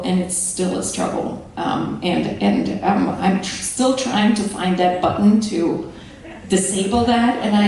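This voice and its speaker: Echoey distant woman, gravelly voice. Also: medium pitch, darkish tone, slight background noise. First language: Slovenian. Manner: thoughtful, hesitant restarts. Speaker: panelist. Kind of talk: conference panel discussion. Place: large conference hall. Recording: echoey hall from far off.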